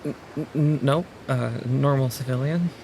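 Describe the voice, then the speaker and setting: Man playing nervous, creaky-voiced, halting Claire. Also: medium pitch, dark tone, slight background noise, low-quality recording. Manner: stammering, halting, timid. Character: nervous, hesitant civilian woman named Claire. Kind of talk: audio drama acting.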